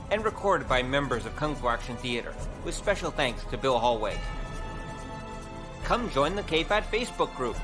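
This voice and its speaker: A man reading scripted credits as the announcer of an audio drama show. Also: mid-range voice. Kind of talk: scripted announcement over music.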